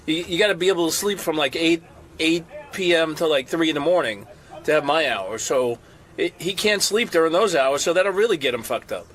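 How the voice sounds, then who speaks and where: A man's voice: on the high side, brisk, a bit bright, low-quality recording. A man answering interview questions bluntly, cursing freely. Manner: blunt and profane. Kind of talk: casual interview comment.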